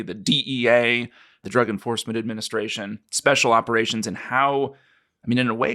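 A man co-hosting a podcast, talking in a relaxed way with lots of hedging fillers. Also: mid-range voice. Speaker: podcast co-host. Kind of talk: conversational podcast chat.

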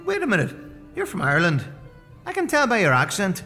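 Brief: a sly, overly friendly man, lively voice, live stage reverb. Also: high-pitched, fairly quick, faint music behind, heavily acted. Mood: chummy. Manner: chummy, ingratiating. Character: friendly but sly man luring a stranger.

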